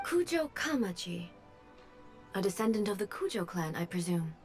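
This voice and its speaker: An actress playing a calm, regal female ruler who speaks in a measured, formal way. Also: medium pitch, faint music behind. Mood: composed.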